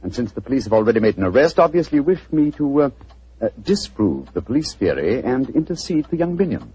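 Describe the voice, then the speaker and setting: An actor playing a sharp, brisk middle-aged male detective, in 1940s radio acting. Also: medium pitch, big pitch swings. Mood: confident. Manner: crisp, confident deductions with small hesitations. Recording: dull old radio recording.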